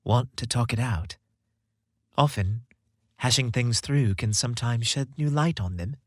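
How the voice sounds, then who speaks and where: A man's voice: medium pitch, measured pace, dry recording, clean studio sound, lightly acted. A man voicing a considerate, earnest young man in a romantic storybook tale, with a reassuring manner. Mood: concerned, gentle. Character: gentle, considerate, tall young man in love.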